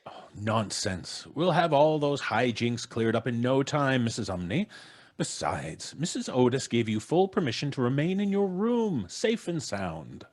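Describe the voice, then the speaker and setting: A man's voice: mid-range voice, very animated, unhurried. A middle-aged man playing a blustery, pompous, unflappable father who dismisses ghosts in an old-time radio drama. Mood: dismissive. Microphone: studio microphone on a video call.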